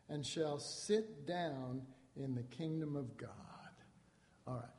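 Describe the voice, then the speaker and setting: A man's voice: fairly high pitch, darkish tone, big-room sound. A man preaching a sermon, reading scripture and explaining it in a conversational way, acting out quotes with rising emphasis.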